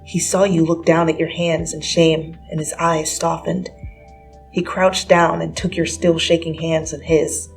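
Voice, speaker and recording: A woman's voice: sits low, level tone, measured pace, gravelly, poor audio. A woman giving a dramatic reading of fan fiction as an amateur narrator, with music behind her. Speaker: amateur story reader.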